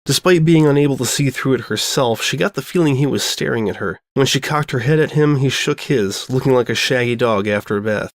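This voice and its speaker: A man's voice: medium pitch, brisk, hardly any pauses. A man reading a scripted comic story as narrator, telling it expressively. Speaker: fan fiction narrator.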